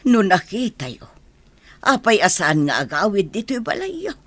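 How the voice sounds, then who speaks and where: A gentle old grandmother in a radio play. She sounds worried, and she counsels in a soothing, admonishing way. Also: mid-range voice, big pitch swings, moderate pace, dry recording. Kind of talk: radio drama acting.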